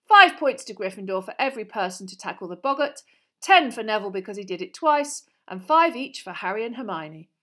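A woman voicing a brisk, encouraging male professor of magic in a storybook, calling out instructions to a class. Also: fairly high pitch, expressive, voice pushed up. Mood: pleased, brisk. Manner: commanding, calling out instructions to pupils. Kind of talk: storybook character voice.

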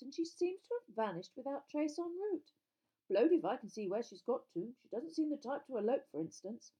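A woman's voice: a little high, dark tone, slightly rough, voice pushed up, low-quality recording. A woman voicing a jovial but nervous, wheedling middle-aged man, a sports official in a storybook, with a hesitant, chummy, blustering manner. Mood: strained, chatty.

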